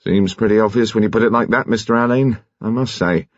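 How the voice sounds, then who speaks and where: A man's voice: fairly low pitch, fairly quick, dry recording, muffled recording, close to an everyday voice. A man voicing a stolid, sedate police detective, plain-spoken and deferential, in an audiobook story. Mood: thoughtful.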